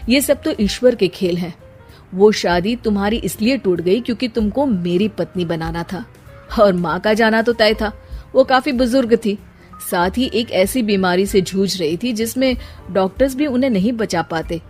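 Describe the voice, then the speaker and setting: A woman's voice: mid-range voice, moderate pace, darkish tone, soft background music, close to an everyday voice. A woman voicing a kind, devoted young husband in a cartoon story, earnest and soothing. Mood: reassuring.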